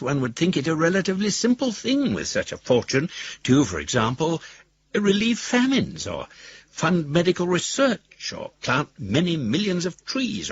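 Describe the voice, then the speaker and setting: An actor playing an eccentric older billionaire reciting his will in a wry, wordy, deliberate manner for a radio drama. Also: medium pitch, slightly rough, no echo. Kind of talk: literary monologue in a radio drama. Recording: dry, slightly dull studio recording.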